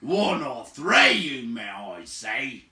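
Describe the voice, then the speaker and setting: A man voicing a huge, gruff, bearish storybook man who is suspicious and blunt, with a growling, drawn-out delivery. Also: medium pitch, big pitch swings, pushed and bright, pitched above the performer's own voice, heavily acted. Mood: scornful, booming. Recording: echoey room, distant from mic.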